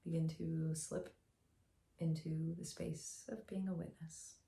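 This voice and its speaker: A woman guiding a meditation as a teacher, speaking in a gentle, soothing, unhurried way with long pauses, in a slightly echoey room. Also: sits low, flat delivery, creaky. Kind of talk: guided meditation, soft instructional narration.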